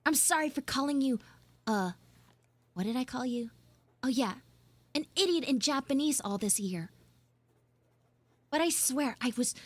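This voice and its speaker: A woman's voice: on the high side, no room sound, lightly acted. A woman playing a prickly, defensive schoolgirl in a live audio roleplay, stammering and dramatic as she grumbles and pleads. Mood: flustered, apologetic.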